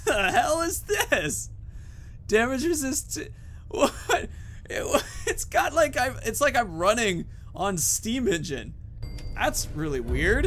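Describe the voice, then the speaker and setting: Man, higher-pitched, bright forceful tone, casual gaming host, informal, thinking aloud, light background noise. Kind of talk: casual let's play commentary.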